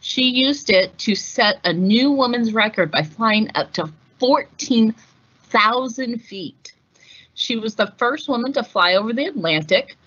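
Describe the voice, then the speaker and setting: A woman reading a picture book aloud as host, in a measured, deliberate storytelling delivery. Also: a little high, a bit bright, lo-fi sound. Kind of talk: scripted picture-book narration.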